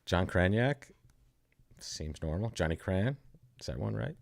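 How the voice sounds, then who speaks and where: Low-voiced joking bridge commentator. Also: male voice, animated, measured pace, vocal fry, no room sound. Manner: joking, chatty, self-deprecating. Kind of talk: casual live commentary.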